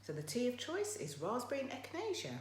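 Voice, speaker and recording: A woman with a croaky voice hosting a casual live motivational talk, chatting directly to her viewers. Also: a little high, wildly swooping pitch, fast. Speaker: motivational livestream host. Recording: roomy echo, faint background noise.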